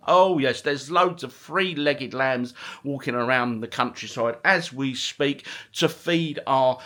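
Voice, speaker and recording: A man's voice: fairly high pitch, pushed and bright. A middle-aged man chatting casually to his vlog viewers, rambling along in British slang with chirpy greetings. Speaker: vlogger and retro gaming channel host.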